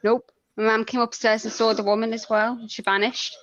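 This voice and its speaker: High-voiced woman, dry sound. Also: flat delivery, a little fast, fairly bright tone, no room sound, highs cut off. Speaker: podcast guest. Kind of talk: casual personal storytelling.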